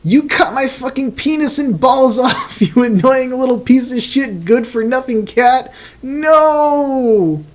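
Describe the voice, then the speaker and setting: A man's voice: extremely high pitch, lively intonation, takes it slowly, pitched above the performer's own voice, heavily acted. A man voicing a bratty, foul-mouthed four-year-old cartoon boy who whines and curses. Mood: screaming agony. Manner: whining, cursing.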